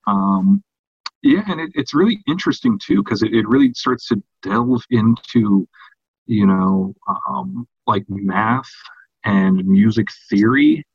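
A man with a fairly low voice talks slowly and haltingly, often restarting his phrases. He sounds like a podcast co-host in casual discussion, recorded in a roomy space with a slightly dull sound. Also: animated, slightly rough. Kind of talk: casual discussion of horror fiction.

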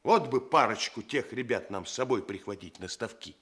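A male actor playing a gruff, principled senior policeman in a radio play, plainspoken, homely and paternal. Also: on the low side, expressive, moderate pace, a bit bright. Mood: persuasive.

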